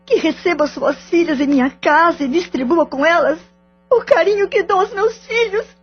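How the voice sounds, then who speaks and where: An actress playing a wounded, betrayed wife who speaks in a curt, cold and bitter way, in an audio drama. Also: female voice, very high-pitched, normal speed. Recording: dull lo-fi audio with faint music.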